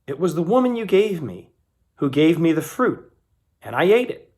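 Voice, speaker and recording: Guy reading as an ashamed man in a bible story, defensive, making excuses, animated pitch. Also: moderate pace. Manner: defensive, confessing. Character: Adam, ashamed first man shifting blame.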